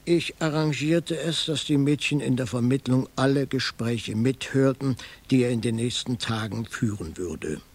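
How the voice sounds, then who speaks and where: Gravelly, husky detective narrator. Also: male voice, medium pitch, moderate pace, hardly any pauses. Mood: dry, matter-of-fact. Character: dry, observant hard-boiled male detective telling his case. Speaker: first-person narrator. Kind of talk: scripted crime radio play narration.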